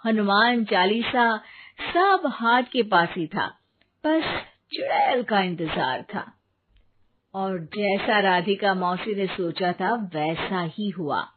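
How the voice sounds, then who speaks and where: A woman narrating a scripted story as a storyteller, on a tinny recording. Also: medium pitch. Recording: tinny phone-like sound with faint noise.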